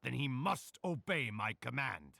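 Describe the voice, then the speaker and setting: A man's voice: mid-range voice, very animated, a little slow, creaky, pushed and bright, dry recording, full character voice. An actor playing a stern, vengeful king in a video game's stage play, declaiming in a pompous, commanding way. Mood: vengeful decree. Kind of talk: theatrical acting in a video game.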